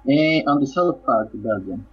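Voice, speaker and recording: A man's voice: mid-range voice, normal speed, husky. A man from El Salvador whose first language is Spanish, chatting casually with short, easy answers. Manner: relaxed, laughs easily, short answers. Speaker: guest co-host. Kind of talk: casual online chat. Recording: dull distant call audio.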